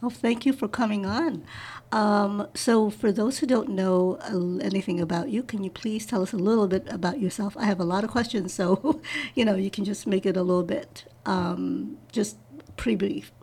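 New woman host, mellow husky voice, rambling curious questions. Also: mid-range voice, normal speed. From New York. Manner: warm interjections, rambling questions, laughs. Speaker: podcast host. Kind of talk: interview hosting, intro read then chat.

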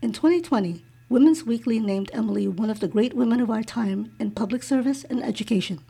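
A woman hosting an interview show, reading out a guest introduction and then asking soft, hesitant questions. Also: on the high side, fairly quick, creaky, breathy edge. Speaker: podcast host.

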